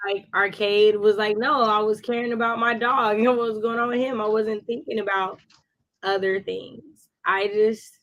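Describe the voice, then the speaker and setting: A woman's voice: mid-range voice, fairly even intonation, moderate pace, a bit bright, highs cut off. A woman chatting casually about books as a co-host, effusive and given to repeating phrases for emphasis. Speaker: book discussion co-host. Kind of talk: casual book chat.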